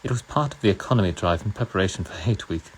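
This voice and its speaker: A man acts out a British narrator of a grim novel in a low voice. The reading is measured and literary, with small stumbles. There is light noise in the background. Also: animated. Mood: measured storytelling. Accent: put-on British. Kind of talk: audiobook-style novel reading.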